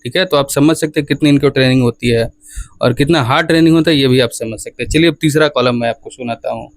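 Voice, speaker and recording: A man's voice: medium pitch, quick pace, no room sound. A man presenting explanatory commentary to viewers, repeating points for emphasis.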